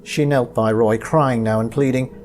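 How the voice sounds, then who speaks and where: A man reading a short story aloud as its narrator, in an expressive, dramatic way. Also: mid-range voice, moderate pace, slight background noise.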